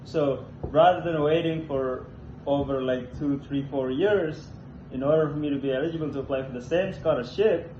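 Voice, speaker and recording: Young man from Indonesia, casual chat host, halting, repeats words, mellow tone, echoey dull room sound. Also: a little high, a little hiss or hum. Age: young adult. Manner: halting, repeats words while searching for phrasing. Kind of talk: casual conversation. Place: apartment room.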